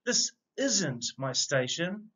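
A man voicing an indignant little fat train driver in a children's storybook, shouting in outrage. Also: fairly high pitch, very animated, slow, dull audio, pitched above the performer's own voice, heavily acted. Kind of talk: children's storybook read-aloud.

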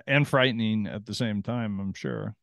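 A man's voice: fairly low pitch, moderate pace, creaky, dry recording. A man hosting a podcast interview, asking questions and adding brief encouraging remarks. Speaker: podcast host. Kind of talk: casual interview.

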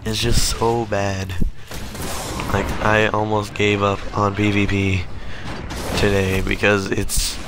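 A man with a hoarse, strained voice that keeps cracking, clearing his throat often as he talks casually over gameplay footage. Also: fairly low pitch, monotone, slow pace, noisy background. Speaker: gaming channel host.

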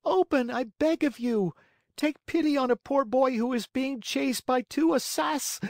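A frantic high puppet boy. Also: expressive, unhurried, a little mellow, no room sound, clean studio sound, full character voice. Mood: desperate pleading. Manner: high, breathless, beseeching cries. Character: frantic wooden puppet boy, pleading and desperate.

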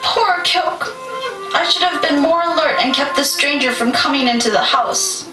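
Woman as a high-voiced clever young girl. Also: normal speed, over music, natural voice. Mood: regretful. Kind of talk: puppet show voice acting. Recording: echoey, distant, slightly noisy stage recording.

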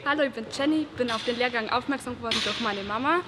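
A woman's voice: on the high side, fast, very noisy. Chatty teenage girl giving a testimonial. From Germany. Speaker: camp participant. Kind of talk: interview testimonial. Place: indoor sports hall.

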